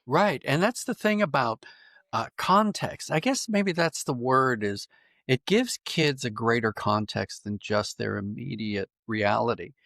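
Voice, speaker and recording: A man's voice: medium pitch, animated, measured pace, vocal fry, fairly bright tone, no echo, studio quality. A man speaking as an interview guest, reflective and conversational, thinking aloud and correcting himself as he goes. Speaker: podcast guest, writer and dramatist.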